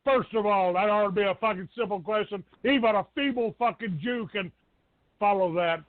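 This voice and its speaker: A man's voice: high-pitched, normal speed, dry recording. A man hosting a live call-in show in an abrasive, combative, foul-mouthed way. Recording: tinny phone-grade dry audio.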